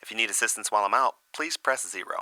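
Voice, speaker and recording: A man playing a courteous, businesslike office employee on the phone in a scripted training example, brisk and polite. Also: on the low side, expressive, sharp tone, dry recording.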